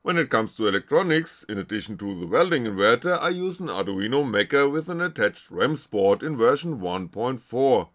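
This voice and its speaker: A man's voice: mid-range voice, lively intonation, normal speed, few pauses, a bit bright. A man reading scripted technical narration as a maker presenting his own project.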